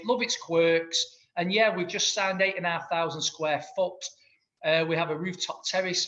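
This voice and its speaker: Mancunian man, fairly high voice, eager rambling answers, dull lo-fi audio. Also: fairly bright tone. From Manchester. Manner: enthusiastic, rambling with many restarts. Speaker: guest, accountant and business owner. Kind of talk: interview answers.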